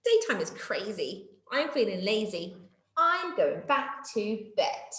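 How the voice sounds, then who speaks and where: A woman's voice: mid-range voice, very animated, takes it slowly, a bit bright, echoey room. A woman voicing an easily startled, baffled female monkey who exclaims and puzzles, in a children's storybook. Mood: sleepy.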